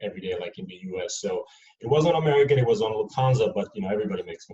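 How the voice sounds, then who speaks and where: A man from Serbia speaks as a panelist, in a measured, hesitant way with frequent fillers. His voice sits a little low. He is picked up from afar in a reverberant room, and the sound is muffled. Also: expressive, a crowd in the background. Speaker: panelist, airline employee. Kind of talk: panel discussion answers. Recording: dull, roomy sound from a distant mic.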